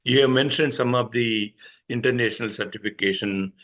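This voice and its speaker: A man moderating a conference panel, speaking haltingly with many fillers and a habit of repeating "actually". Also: mid-range voice, fairly bright tone.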